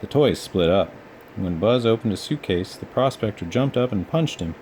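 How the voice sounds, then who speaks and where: A man's voice: on the low side, lively intonation, moderate pace, a little mellow, slight background noise. A man narrating a children's storybook as a read-aloud storyteller.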